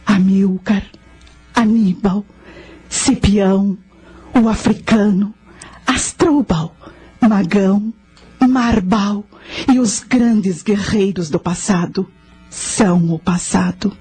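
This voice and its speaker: A woman playing a solemn ancient high priestess, now a spirit, in a radio drama, husky and measured. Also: fairly even intonation, dark tone, soft background music. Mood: solemn, tender. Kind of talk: radio drama monologue and narration.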